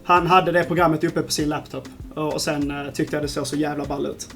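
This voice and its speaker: A man's voice: a little high, fairly even intonation, fast talker, fairly bright tone. A man giving informal, modest answers as an interview guest. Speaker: music producer, interview guest. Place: music studio.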